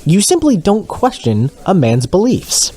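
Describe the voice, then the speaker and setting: A man's voice: high-pitched, wildly swooping pitch, unhurried, mellow, exaggerated voice. Man as a swooping, smug male news anchor. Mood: self-righteous. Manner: condescending, mock-earnest newsreading. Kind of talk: satirical news broadcast.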